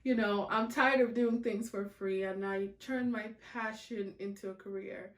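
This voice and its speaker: A woman's voice: medium pitch, steady tone, unhurried, distant mic. A woman who works as an event decorator, talking about her work in a chatty way. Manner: chatty, with fillers. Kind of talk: self-introduction to camera. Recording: echoey room, away from the mic.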